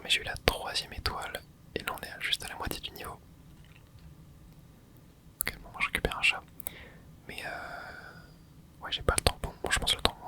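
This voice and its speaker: A host whispering casual ASMR commentary over gameplay, reacting excitedly and chatting to viewers. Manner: casual, chatty, self-correcting. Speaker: channel host.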